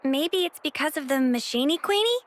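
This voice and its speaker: Game role: brash young female fighter, high-pitched, very lively, informal. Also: normal speed. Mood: surprised, puzzled. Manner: colloquial, informal, excitable. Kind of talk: video game cutscene voice acting.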